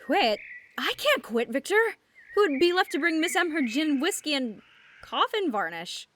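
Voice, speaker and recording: A woman plays an eager, scatterbrained young man. The voice is high, bright and very animated, spouting flowery phrases with theatrical flair. It is a comic dub with a little background noise. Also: moderate pace. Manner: verbose, theatrical, hesitant tangents. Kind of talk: fan dub comic voice acting.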